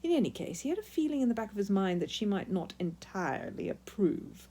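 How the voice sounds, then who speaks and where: Woman, children's book narrator, husky tone, lively intonation, emphatic storytelling. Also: mid-range voice, brisk.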